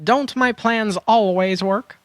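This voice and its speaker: A woman's voice: medium pitch, slow pace, no echo, studio quality, lightly acted. A woman playing a cheerfully overconfident boy adventurer in a children's audio drama, breezy and self-assured. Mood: breezy, smug.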